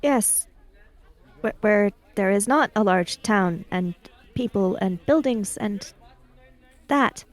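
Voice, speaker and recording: A woman's voice: mid-range voice, animated, takes it slowly, pauses often. A woman playing a reserved, hesitant young country woman traveller in a tabletop roleplaying game.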